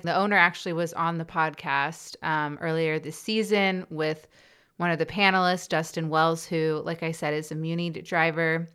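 A woman hosts a podcast conversation, stuttering now and then on the first sounds of words. Her voice sits low for a woman and comes across smooth and clear. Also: a bit bright. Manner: stutters, with repeated sounds and blocks. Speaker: podcast host. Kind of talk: podcast interview.

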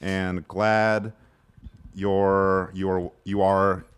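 A man's voice: low voice, flat delivery, smooth. A man co-hosting a comedy podcast, riffing through bits and mock ad reads in a joking way. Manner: jokey, fast bursts, bits and fake emails. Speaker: podcast co-host. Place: in-person studio recording.

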